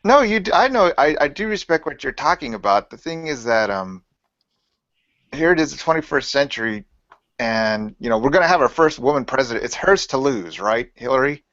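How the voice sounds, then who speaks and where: A man's voice: medium pitch, very animated, brisk, a bit bright. An American man on a casual panel talk, agreeable, offering short replies and polite counterpoints. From United States. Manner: agreeable, brief interjections, argues politely. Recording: dry, dull lo-fi audio.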